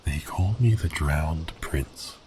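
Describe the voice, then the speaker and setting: A man playing a giant cursed sea beast prince in an ASMR audio roleplay, speaking in grand archaic phrases with a teasing, theatrical manner and a breathy voice that dips into whispers. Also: rumbling low, slow, darkish tone, a little hiss or hum. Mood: wistful, resigned.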